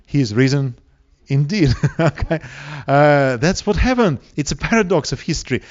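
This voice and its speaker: A man's voice: fairly high pitch, animated. Russian-accented male preacher, muffled recording. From Siberia, Russia. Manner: deliberate, rhetorical questions, emphatic pauses. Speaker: preacher, evangelistic seminar speaker. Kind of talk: sermon to a live audience.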